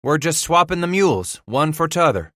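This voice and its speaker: A man's voice: fairly high pitch, very animated, moderate pace, fairly bright tone, no echo, clean studio sound, voice pushed up. A man voicing an earnest, stubborn young trapper in a western audiobook, pleading in rustic dialect. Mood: anxious bargaining.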